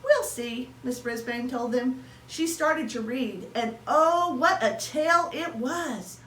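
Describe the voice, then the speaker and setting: A woman reading a children's story aloud in an animated way, drawing out words for dramatic emphasis. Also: sits high, slow. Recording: roomy echo with faint noise.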